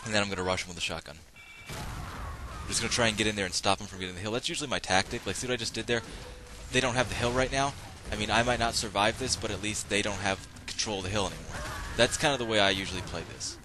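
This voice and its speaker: A man giving rambling, casual running commentary while playing an online shooter, his voice slightly raspy and hoarse from a cold, often breaking off mid-thought to react to the action. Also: fairly low pitch, fast talker, background noise. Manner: rambling, self-interrupting, bursts of excitement at game action. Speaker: gameplay commentator.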